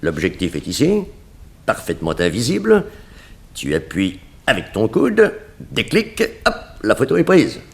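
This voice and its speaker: An actor playing a calm, coercive and sardonic blackmailer in a 1960s French radio crime drama. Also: male voice, on the low side, a little slow, vocal fry, heavily acted. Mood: brisk, businesslike. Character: menacing male blackmailer, smooth crook in haute couture.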